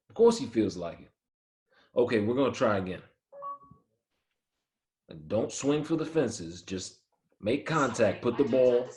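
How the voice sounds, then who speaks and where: A man's voice: medium pitch, a little mellow. An actor playing a proud, demanding baseball-star father in a dramatic script reading. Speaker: actor in a screenplay table read. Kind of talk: dramatic table read.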